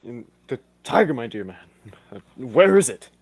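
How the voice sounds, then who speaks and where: A man playing a pompous, excitable gentleman sidekick in 1940s radio detective style, with a husky voice and old-fashioned manners of address. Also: medium pitch, normal speed. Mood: excited, theatrical.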